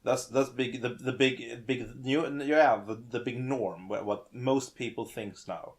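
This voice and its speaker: A man co-hosting a casual gaming podcast, prodding the others for opinions and restarting his sentences often. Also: mid-range voice. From Sweden. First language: Swedish. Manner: prodding, halting, many restarts. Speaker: podcast co-host. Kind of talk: casual discussion.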